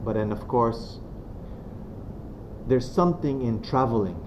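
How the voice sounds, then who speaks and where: A man from Romania giving a religious talk to students as a guest speaker. He is soft-spoken and unhurried, and he pauses often and uses many fillers. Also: mid-range voice. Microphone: venue microphone. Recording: dull lo-fi sound with clear background noise.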